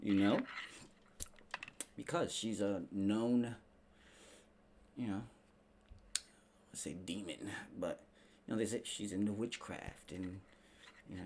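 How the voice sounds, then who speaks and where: Slangy, low-voiced man ranting over noise. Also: moderate pace, pauses often, a little mellow. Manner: slangy, sarcastic, mimics people in mock dialogue. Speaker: commentary show host. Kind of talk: casual opinion commentary, profane rant. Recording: clearly noisy background.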